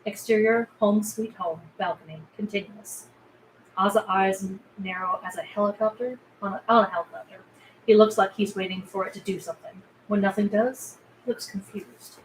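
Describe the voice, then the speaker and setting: A woman's voice: mid-range voice, fairly even intonation, moderate pace, poor audio. A woman narrator reading screenplay stage directions aloud at a table read. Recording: faint background noise.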